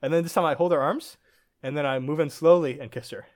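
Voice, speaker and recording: A man's voice: a little high, fast talker. A young man with an American accent, a podcast guest telling a story in casual chat and laughing often as he goes.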